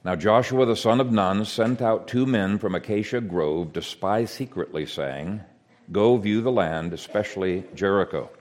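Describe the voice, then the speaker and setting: A man who grew up in Ethiopia, giving an earnest, measured sermon with frequent hesitations. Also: on the low side, darkish tone. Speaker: preacher.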